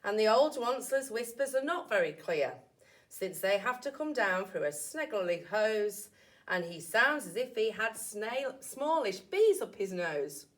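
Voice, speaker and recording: A woman's voice: mid-range voice, sing-song, a little slow. A woman, a primary school teacher, reading a picture book aloud expressively to young children with asides.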